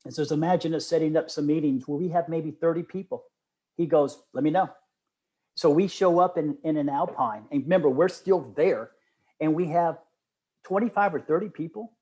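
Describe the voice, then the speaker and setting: A man's voice: a little high, moderate pace. A middle-aged man talking casually and enthusiastically as an interview guest, telling stories and quoting others with emphasis. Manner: animated storyteller, often quoting others with emphasis.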